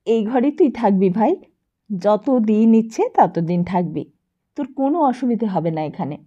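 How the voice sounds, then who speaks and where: A woman's voice: mid-range voice, expressive, a little slow, warm tone, muffled recording. A woman voicing an effusive, affectionate socialite elder sister in an audiobook drama, insistent and gushing. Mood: insistent, reassuring. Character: warm, gushing, tipsy socialite elder sister hosting guests.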